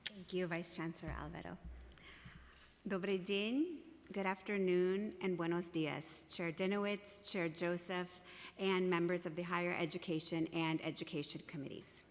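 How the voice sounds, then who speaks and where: A woman whose first language is Russian, a professor reading prepared testimony in a measured, formal way in a council chamber. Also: fairly low pitch, steady tone. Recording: long reverb of a large hall.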